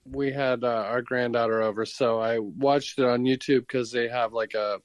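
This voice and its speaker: Older man, podcast co-host, average pitch, casual chat, very dry recording. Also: fairly even intonation, moderate pace, no room sound.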